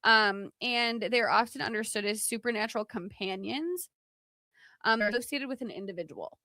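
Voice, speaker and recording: A woman's voice: a little high, moderate pace, bright, forceful tone, dry recording, clean studio sound. A woman co-hosting a podcast, explaining folklore from notes in an informal, chatty way. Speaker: podcast co-host.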